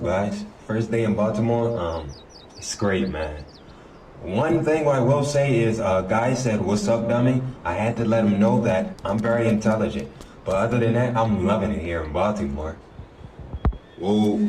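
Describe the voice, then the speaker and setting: A man's voice: fairly low pitch, normal speed, darkish tone, slight background noise. Brash, slangy man, heard from a distance. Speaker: vlogger. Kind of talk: casual video selfie talk. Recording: roomy and distant.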